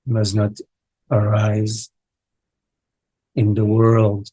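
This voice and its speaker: A man with a lowish, husky voice guides a meditation. He speaks in short, halting phrases and leaves long pauses between them. The sound is dry and close. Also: monotone, mellow, dry recording, low-quality recording. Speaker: meditation teacher leading a live online session. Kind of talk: guided meditation, slow contemplative speech.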